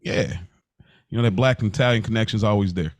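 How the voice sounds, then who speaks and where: A man's voice: lowish voice, quick pace, dry recording. Man chatting casually, close dry sound. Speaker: podcast co-host. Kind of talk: casual podcast conversation.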